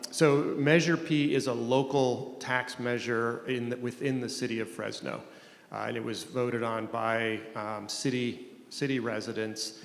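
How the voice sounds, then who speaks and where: A man speaking on a conference panel, talking in halting phrases with many restarts. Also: mid-range voice, vocal fry. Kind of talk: panel discussion. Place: conference room. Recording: echoey hall, faint noise.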